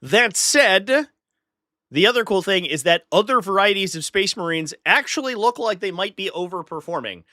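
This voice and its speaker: A man's voice: high voice, lively intonation, moderate pace, pushed and bright, no room sound. A man with a stuffy nose from a flu, hosting a live stream in casual chat, talking straight to his viewers with dry sarcastic asides.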